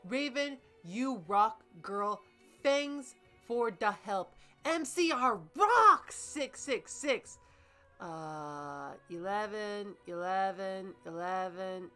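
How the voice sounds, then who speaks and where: A woman's voice: medium pitch, fairly bright tone. A woman in casual, jokey, profane banter and mock-dramatic reading, stumbling over odd words as she goes. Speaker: co-host reading fanfiction aloud and critiquing it.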